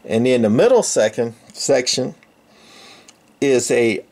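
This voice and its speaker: Halting man giving an informal gear demo. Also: medium pitch, animated, vocal fry, darkish tone. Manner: halting, rambling explanation. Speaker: electronics hobbyist presenter. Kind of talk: informal unscripted equipment demonstration.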